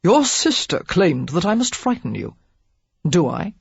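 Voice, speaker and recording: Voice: mid-range voice, big pitch swings, measured pace, vocal fry, breathy edge, dry recording, lo-fi sound, full character voice. A narrator voicing a curt, sardonic aristocrat. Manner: abrupt, dry, sardonic. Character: curt, impatient aristocratic man. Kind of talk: audiobook character voice.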